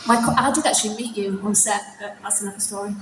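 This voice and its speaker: A woman's voice: mid-range voice, fairly even intonation, fast, a crowd in the background. A woman from the audience asking a question at a convention, nervous and giggly. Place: large convention hall. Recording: echoey hall mic with noise.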